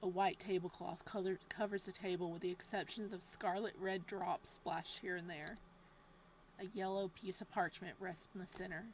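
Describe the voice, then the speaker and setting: A woman reading a horror visual novel's text aloud in a hushed, steady voice. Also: on the low side, moderate pace, a little hiss or hum, low-quality recording.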